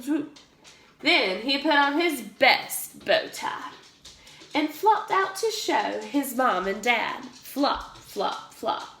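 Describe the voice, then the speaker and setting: A woman reads a picture book to young children in a slow, highly animated voice. She punches the sound words with emphasis, and the room echoes around her. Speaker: pre-K teacher reading aloud. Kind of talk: expressive children's storybook read-aloud.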